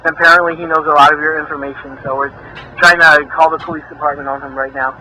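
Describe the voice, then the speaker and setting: A man's voice: a little high, level tone, fast, a crowd in the background. A man making an improvised prank call in a mock-official, persistent, argumentative manner. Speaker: prank caller. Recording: noisy lo-fi recorded phone call.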